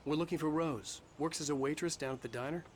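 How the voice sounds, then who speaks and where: A man's voice: on the high side, fast talker, a little hiss or hum, close to an everyday voice. An actor playing a tense, brooding male writer in a video game, speaking in a terse, urgent way. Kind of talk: video game acting and first-person narration. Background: loud music.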